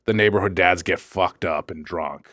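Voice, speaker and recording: A man's voice: deep voice, normal speed, no room sound, studio quality. A man co-hosting a podcast, rambling through stories with lots of swearing and jumps into quoted voices. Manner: rambling storyteller, swears freely, animated quoting. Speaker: podcast co-host. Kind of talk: casual comedic chat.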